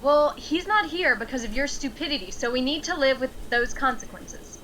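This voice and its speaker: A woman's voice: sits high, lively intonation, normal speed, slight background noise, low-quality recording. A woman acting a bold, short-tempered female gangster with a defiant manner. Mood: firm. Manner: sharp, defiant. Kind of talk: script table read.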